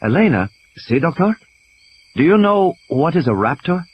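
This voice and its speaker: Man playing probing woman doctor, raised pitch. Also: very animated, normal speed, breathy edge, dull audio. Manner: probing, earnest. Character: determined, questioning woman doctor at village clinic. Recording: background hiss.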